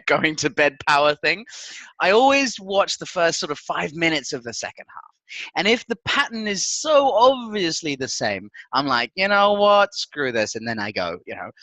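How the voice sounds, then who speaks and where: A man's voice: a little high, sharp tone, no room sound, rough recording. A man hosting a football podcast with casual, blokey, sweary banter and frequent chuckles. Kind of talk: casual banter and match chat.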